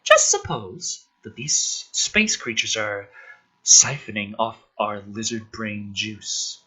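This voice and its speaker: An earnest, intense scientist read out by a man lays out a theory from a film script. The delivery is hushed and deliberate, pitched low and building with quiet drama. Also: very animated, slightly rough, full character voice, poor audio.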